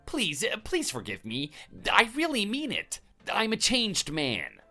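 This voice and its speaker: A cowardly, grovelling male neighbor, put on by a man in a comic skit. The voice sits high and jumps around as he stammers and begs. Faint music plays underneath. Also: wildly swooping pitch, a little slow, pushed and bright, heavily acted. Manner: stammering, pleading, apologetic.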